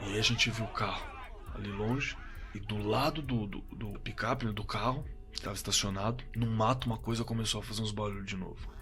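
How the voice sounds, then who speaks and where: An actor playing a shaken Brazilian man giving first-person horror testimony in an audio drama, halting, repeating words and swearing colloquially. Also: on the low side, fairly even intonation, natural voice. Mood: tense, urgent. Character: shaken man recounting a terrifying camping encounter. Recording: suspense music under the voice.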